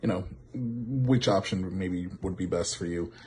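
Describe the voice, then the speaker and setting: A man giving a casual, unscripted product demo as a reviewer, halting and often repeating or correcting himself. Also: low voice, over loud music. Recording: clearly noisy background with clicks.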